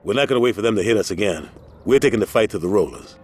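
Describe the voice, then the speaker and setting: An actor playing a tough, confident street gang lieutenant in a video game, blunt and streetwise. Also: male voice, lowish voice, lively intonation, very fast, a little mellow, breathy edge, faint music behind. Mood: aggressive, determined. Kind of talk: video game cutscene acting.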